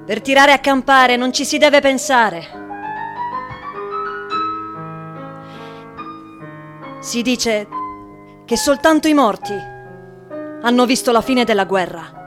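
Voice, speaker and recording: An actress playing a practical, resigned housewife in wartime, in stagey radio drama dialogue. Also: female voice, fairly high pitch, unhurried, over music, natural voice.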